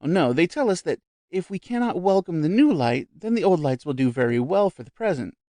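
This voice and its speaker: Shrill, gushing, vivacious society woman, male reader voicing, dry close sound. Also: very animated, brisk, mellow, no echo. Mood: confiding. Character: gushing middle-aged female society spiritualist.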